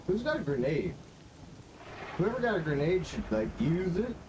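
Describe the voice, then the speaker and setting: A man's voice: fairly high pitch, very animated, moderate pace. A man giving casual, rambling live commentary as a game streamer, muttering and swearing to himself with a stuffy-sounding nose. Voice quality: stuffy-nosed. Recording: gunfire and game noise behind voice. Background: video game gunfire and ambience.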